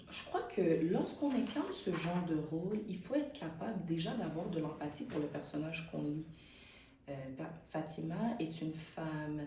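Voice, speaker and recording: A woman talks thoughtfully in an interview. Her voice is low and mellow, with frequent hesitations and restarts. The mic sits a little away from her in an echoey room. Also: a little hiss or hum. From Canada. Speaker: actress and interview guest. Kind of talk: conversational interview. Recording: roomy, distant mic.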